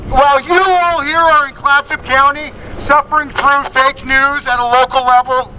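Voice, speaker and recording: A man's voice: very high-pitched, fairly even intonation, moderate pace, pushed and bright, poor audio. A man giving an impassioned political speech at an outdoor rally, emphatic and full of rousing rhetorical questions. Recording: outdoor rally with crowd and traffic noise.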